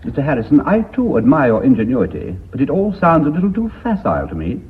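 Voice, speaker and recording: Sceptical gentleman, swooping intonation. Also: medium pitch, wildly swooping pitch, fast. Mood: sceptical, polite. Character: sceptical, suavely polite board member. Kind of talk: British radio drama acting. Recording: thin, phone-like old radio recording.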